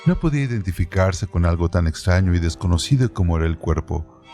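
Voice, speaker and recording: A man giving a measured, deliberate scripted reading of a literary text as narrator of a radio-theatre piece. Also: sits low, expressive, warm tone, soft background music.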